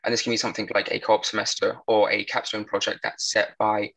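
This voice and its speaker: Quick-talking young British man on a panel. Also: on the low side, little pitch movement, creaky, fairly bright tone, rough recording. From United Kingdom. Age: young adult. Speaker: panelist, threat intelligence professional. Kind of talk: conversational panel answer.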